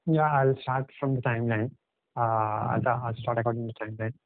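A man with an Indian English accent, a native Telugu speaker, telling travel stories as a podcast guest in a halting, hesitant way. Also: medium pitch, steady tone, no echo. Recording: dry, tinny phone-like line.

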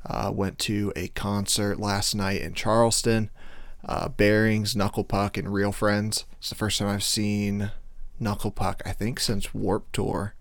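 A male podcast host with a slightly hoarse voice, talking casually in a rambling way with many fillers. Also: lowish voice, level tone, a little slow, dry recording. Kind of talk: casual solo podcast intro.

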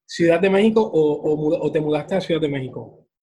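Husky-voiced young man interviewing. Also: on the high side, brisk, dark tone. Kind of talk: casual chat interview.

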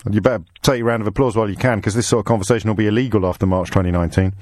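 A middle-aged English man hosting a radio phone-in from a studio, bantering quickly and mocking himself. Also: lowish voice, fairly even intonation, background noise. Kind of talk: casual phone-in chat. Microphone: studio microphone.

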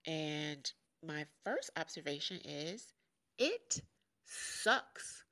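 Deep nasal woman in her forties, podcasting. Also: very animated, very slow, pushed and bright. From Chicago, USA. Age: 40s. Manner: frank and joking, laughs often. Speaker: podcast host. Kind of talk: casual candid monologue.

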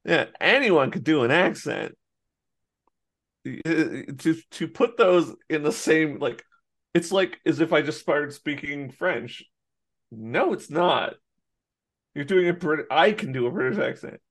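An American man with a gravelly voice. He talks in a loose, rambling way, restarts his sentences and laughs often, like a podcast co-host chatting with a friend. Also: fairly high pitch, animated, a little slow, a bit bright. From United States. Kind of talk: casual chat.

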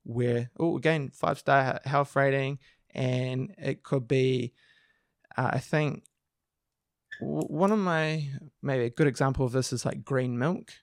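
A man co-hosting a podcast with a croaky voice, explaining things at length in a casual chat with frequent restarts. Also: medium pitch, a little slow, dry recording, studio quality. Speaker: podcast co-host. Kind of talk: casual discussion.

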